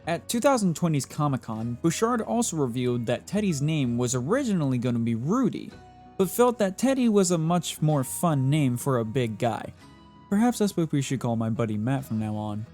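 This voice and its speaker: A man's voice: a little high, dark tone. A man narrating a scripted trivia countdown in a playful, jokey presenter style, with wry asides between the facts. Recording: music playing behind the voice.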